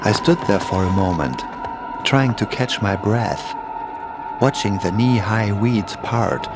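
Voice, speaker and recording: A man's voice: on the low side, animated, takes it slowly. A man reading a scripted first-person horror story as its narrator. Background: music behind the voice.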